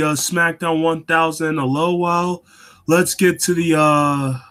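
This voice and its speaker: A young man hosting a casual talk show on his own, getting over a cold and coughing now and then, halting with lots of ums and restarts. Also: fairly high pitch, a bit bright. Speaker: wrestling show host.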